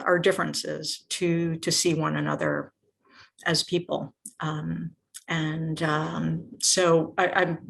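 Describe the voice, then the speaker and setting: An Israeli American woman giving a halting, earnest personal testimonial at an online event, searching for her words. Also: on the low side, vocal fry. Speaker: volunteer speaker at a nonprofit's online celebration.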